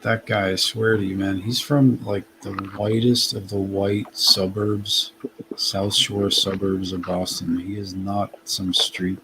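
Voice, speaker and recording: A man's voice: sits low, level tone, slow, fairly bright tone, slight background noise, rough recording. A man with a light Massachusetts accent, a guest in a casual live chat, correcting people in a plain, matter-of-fact way.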